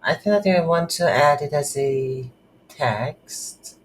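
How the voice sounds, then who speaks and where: A tutorial instructor thinking aloud in a halting, unscripted way while demonstrating game development on a computer. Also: mid-range voice. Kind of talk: unscripted screen-recorded software tutorial. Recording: background hiss, keyboard typing noise.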